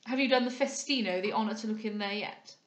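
A woman's voice: medium pitch, quick pace, echoey room, natural voice. A woman voicing a self-satisfied, foppish male captain with a pompous, affected manner in an audiobook. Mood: smug, affected. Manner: pompous, affected, peppered with French words. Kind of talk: dramatised audiobook character voice.

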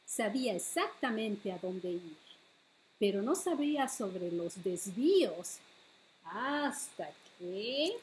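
A middle-aged woman hosting a bilingual storytime as a children's librarian, reading picture books aloud with deliberate phrasing for small children. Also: mid-range voice, very animated, a little hiss or hum, rough recording. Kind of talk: bilingual picture-book read-aloud for preschoolers. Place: living room at home, indoors.

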